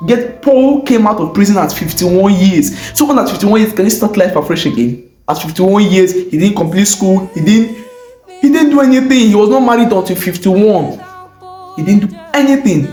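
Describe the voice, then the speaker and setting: A man with a West African accent telling a story in an animated, informal way, full of exclamations, repeated phrases and rhetorical questions. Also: high voice, normal speed. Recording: roomy echo, faint music bed.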